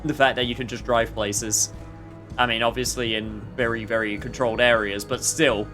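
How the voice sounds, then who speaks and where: A man's voice: mid-range voice, level tone, moderate pace, pushed and bright. A man giving casual, wry gameplay commentary as a streamer, joking at his own expense and thinking aloud. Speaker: streamer playing a game. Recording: faint game music behind.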